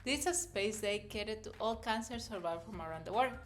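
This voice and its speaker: A woman host giving a steady, welcoming scripted introduction to her channel. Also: mid-range voice, quick pace. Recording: light background music.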